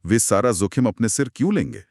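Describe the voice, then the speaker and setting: Animated, lowish-voiced Indian man asking questions. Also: a little fast, gravelly, dry recording, studio quality. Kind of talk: scripted conversational podcast discussion.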